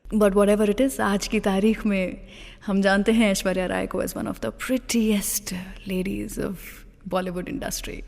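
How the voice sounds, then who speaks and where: A woman's voice: medium pitch, level tone, slow, gravelly, darkish tone. Indian woman radio host with long reverb. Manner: chatty, addresses listeners directly; code-switches between Hindi and English. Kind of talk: radio show presenting with film trivia.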